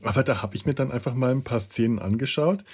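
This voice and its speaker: A man hosting a casual podcast chat, speaking haltingly as he searches for his words. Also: medium pitch, slightly rough. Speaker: podcast host.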